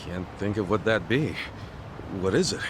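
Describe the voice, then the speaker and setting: Swingy, hot-headed yakuza underdog. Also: male voice, deep voice, normal speed, background noise. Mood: resigned, puzzled. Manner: expressive, blunt, colloquial. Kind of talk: English video game dub acting.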